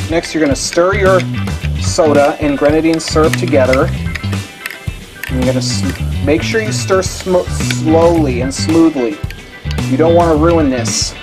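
A young man with a fairly high voice talks to the camera in a home kitchen. He hosts a casual cooking tutorial, with music playing behind him. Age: young adult. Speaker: cooking show host. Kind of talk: casual how-to presentation to camera.

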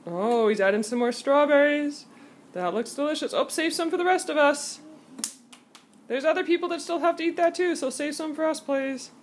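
Very high-voiced woman playing TV host. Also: normal speed, slight background noise. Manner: exaggerated, enthusiastic, teasing. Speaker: host of a kids' cooking video. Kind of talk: playful mock TV-host banter. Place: home kitchen. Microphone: phone camera from off screen.

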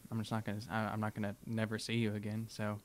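A man's voice: fairly low pitch, monotone, quick pace, a little hiss or hum. A young man in casual improvised roleplay at a tabletop game, hesitant, on a studio microphone. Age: young adult. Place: small studio room indoors. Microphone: microphone on stand.

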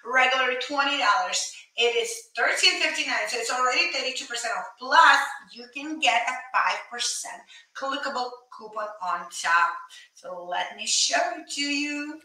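Woman, thirties, live shopping host, chatty, excited, bright voice, echoey room, mic set back. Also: fairly high pitch, normal speed, distant mic. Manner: chatty, excited, addresses viewers directly. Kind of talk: casual live stream chat. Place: small home office at a desk.

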